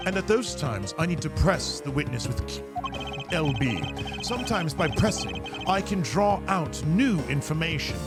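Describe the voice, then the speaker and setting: Man as a formal, haughty prosecutor, lively intonation. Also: a little high, over loud music. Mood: confident, instructive. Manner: precise, haughty, deliberate. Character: composed, formal, analytical male prosecutor.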